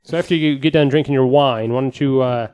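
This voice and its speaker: A man's voice: mid-range voice, fairly even intonation, brisk, a little mellow, clear, resonant tone, muffled recording. A man hosting a podcast in casual conversation, tossing in wry jokes. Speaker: podcast host. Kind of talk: casual chat. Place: podcast studio.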